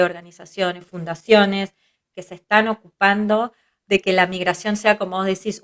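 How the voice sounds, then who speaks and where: A woman's voice: lowish voice, a bit bright. Thoughtful Argentine woman psychologist. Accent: Argentine Spanish. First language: Hebrew. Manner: thoughtful, listing ideas with frequent tag questions. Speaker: guest psychologist. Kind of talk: reflective interview conversation.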